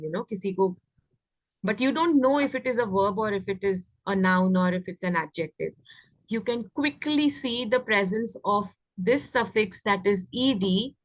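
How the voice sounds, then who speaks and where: A woman with an Indian accent teaches a grammar class to children. Her voice sits fairly high, and she explains step by step, often stopping to ask if it is understood. The sound is close and free of room echo. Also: fairly bright tone. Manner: explanatory, checks understanding with frequent questions. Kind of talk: interactive English grammar lesson for children.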